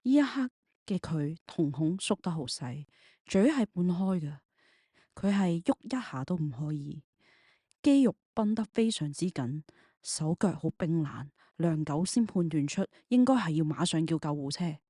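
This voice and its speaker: A woman's voice: low-pitched, expressive, unhurried, warm tone, breathy edge, no echo, clean studio sound. A woman, narrating a scripted audio drama in the third person.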